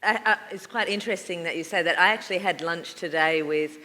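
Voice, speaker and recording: A woman on a panel, speaking thoughtfully with frequent pauses and fillers. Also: fairly low pitch, bright, forceful tone. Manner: thoughtful, hesitant with many fillers. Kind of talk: panel discussion. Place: university lecture hall. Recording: reverberant lecture hall.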